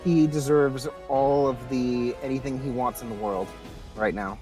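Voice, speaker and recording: Male gamer, average pitch, loose conversational stream banter over faint music. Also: fairly even intonation, unhurried, a little mellow, poor audio. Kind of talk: casual livestream banter.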